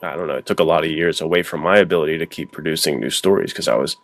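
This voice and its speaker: Very deep-voiced man in casual chat. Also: rapid-fire, no echo. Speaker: podcast co-host and writer.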